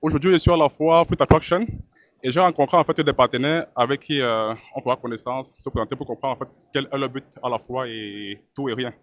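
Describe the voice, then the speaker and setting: A man's voice: medium pitch, brisk, dry recording. A man hosting his channel and interviewing guests at a trade fair, with short encouraging prompts. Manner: brief, encouraging acknowledgements. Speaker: YouTube host, interviewer. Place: busy trade fair hall.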